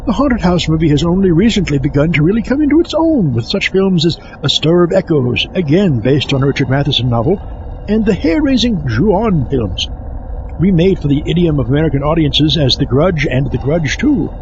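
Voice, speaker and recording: A man acts a fussy, self-important horror film buff in a comic audio show. His voice sits fairly high and swings about as he lectures in a pompous, easily exasperated way. The recording is muffled, with a slight noise behind it. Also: big pitch swings, a little fast. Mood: pompous lecturing. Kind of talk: comic scripted film-history monologue.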